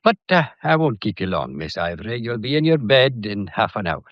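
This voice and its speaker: An actor playing a genial, shrewd, fussy older police inspector in a radio drama, with a folksy, probing way of talking. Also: male voice, lowish voice, big pitch swings, a little fast, no echo, highs cut off. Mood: genial, teasing.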